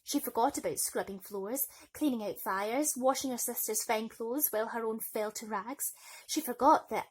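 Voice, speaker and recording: A woman narrating a bedtime story in an expressive, theatrical way. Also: on the high side, moderate pace, breathy edge.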